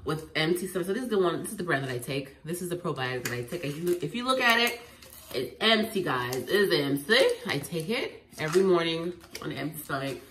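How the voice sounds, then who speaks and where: A woman with a head cold, sounding congested, sharing home remedy tips in an animated, emphatic vlog at home. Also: deep voice, a little fast. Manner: animated and emphatic, repeating phrases. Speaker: lifestyle vlogger. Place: at home indoors. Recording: echoey room, faint noise.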